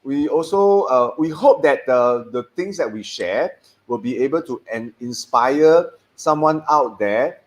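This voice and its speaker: A man's voice: mid-range voice, animated, a little slow. A man, hosting a casual stream and rambling with lots of fillers and restarts. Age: 50s. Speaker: host. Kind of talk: casual live-stream chat.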